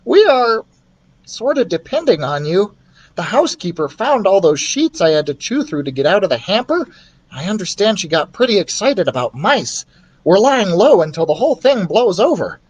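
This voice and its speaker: A man's voice: high-pitched, very animated, normal speed, breathy edge, lo-fi sound, pitched above the performer's own voice, exaggerated voice. A man voicing a small, eager young boy mouse in a storybook, chirpy and concerned. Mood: friendly, concerned.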